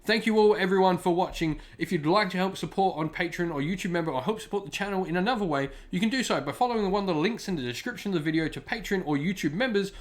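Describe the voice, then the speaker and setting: A man hosting a hobby gaming channel, giving a spoken review in loosely phrased, explanatory talk. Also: fairly high pitch.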